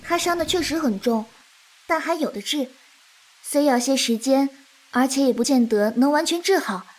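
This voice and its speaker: A woman playing a gentle, patient young martial arts disciple in a Chinese period audio drama, soft-spoken and earnest. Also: sits high, lively intonation, moderate pace, poor audio. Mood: calm, concerned.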